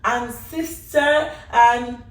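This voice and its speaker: A woman's voice: a little high, very slow. A woman hosting a casual talk show, exuberant and sing-song, laughing often. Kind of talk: casual chat. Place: indoor room with a sofa. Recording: echoey room, distant mic, faint hiss.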